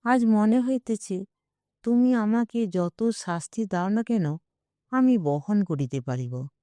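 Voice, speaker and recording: A young wife played by a woman reader speaks in an audio story with grave resolve and anguish. Her voice is soft-toned and unhurried, resigned to whatever comes. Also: mid-range voice, lively intonation, no room sound, clean studio sound. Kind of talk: audio story character reading.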